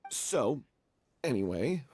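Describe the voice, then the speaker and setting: A man playing a troubled, defensive theater performer in a video game, hesitant and earnest with a husky voice. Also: medium pitch, big pitch swings, slow pace, a little mellow, heavily acted. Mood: reluctant confession. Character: troubled male theater performer, guilt-ridden, defensive, weary. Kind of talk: video game dialogue acting.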